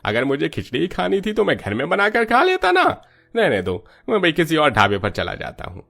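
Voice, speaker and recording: A man playing an expressive, fussy food stall customer in a cartoon story. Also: fairly high pitch, moderate pace, gravelly, exaggerated voice. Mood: dismissive.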